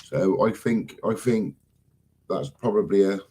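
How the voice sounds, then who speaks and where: A low, husky man chatting on a live stream. He rambles, swears freely and keeps wandering off his point. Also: a little slow, dark tone. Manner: rambling, swears often, loses his thread. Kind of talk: casual live-stream chat.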